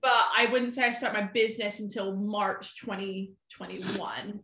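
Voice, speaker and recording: A woman's voice: medium pitch, steady tone, normal speed, bright, forceful tone. A woman in her twenties, a photographer, chatting casually and rambling with lots of "like" on a podcast. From half American, half English. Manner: chatty, rambling, heavy use of "like". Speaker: guest photographer. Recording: echoey room.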